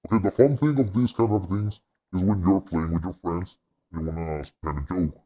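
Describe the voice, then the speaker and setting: A man using a voice changer's monster setting, chatting casually and haltingly while he plays a game. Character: deep monster voice made by voice changer. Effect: pitch-lowering voice changer, monster setting. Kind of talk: casual gaming review chat. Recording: dull, muffled processed audio.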